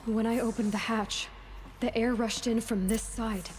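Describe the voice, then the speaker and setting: An actress playing a brave young female warrior in a video game, soft-spoken and at times whispering. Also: fairly high pitch, steady tone, measured pace, a little hiss or hum, natural voice. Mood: quiet. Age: young adult.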